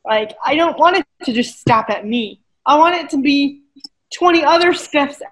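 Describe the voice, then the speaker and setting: A woman with a high voice, talking as an interview guest. She coaches fitness and speaks with animated emphasis. She keeps tagging her points with a quick "right?". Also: poor audio. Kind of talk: casual interview conversation.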